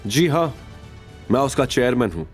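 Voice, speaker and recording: A man acts out a wealthy company chairman in an audio drama. He is proud and serious, insisting with assurance and a defiant streak. Lively intonation sits over a musical background. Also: medium pitch, moderate pace, faint music behind, natural voice. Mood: earnest insistence. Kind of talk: audio drama character acting.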